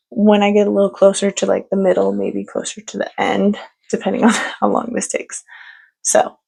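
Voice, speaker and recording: A woman's voice: medium pitch, vocal fry, dark tone. A woman book vlogger chatting casually about her reading, rambling with lots of fillers. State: stuffy from allergies. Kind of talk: casual book update chat.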